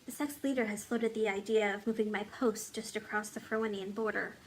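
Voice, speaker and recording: A woman voicing a loyal, determined woman, a king's wise old friend, in earnest, measured storybook dialogue. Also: mid-range voice, fairly even intonation, slight background noise, natural voice. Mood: grave, informative.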